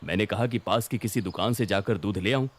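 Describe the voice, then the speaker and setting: A man voicing a calm, suspicious young city policeman in a children's cartoon story, speaking politely and with measured, probing words. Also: fairly low pitch, natural voice. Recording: music behind the voice.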